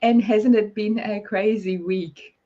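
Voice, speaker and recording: Croaky-voiced woman hosting a livestream. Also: medium pitch, fairly even intonation, slow pace, warm tone, highs cut off. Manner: friendly, chatty, asks the questions, laughs often. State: hoarse, croaky voice. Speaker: host.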